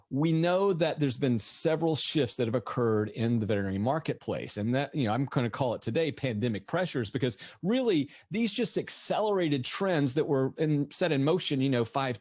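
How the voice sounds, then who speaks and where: A middle-aged man with a slightly raspy, lecture-tired voice, giving an energetic webinar presentation full of rhetorical questions. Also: mid-range voice, no room sound, clean studio sound. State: voice tired from lecturing all morning. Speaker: veterinarian presenter.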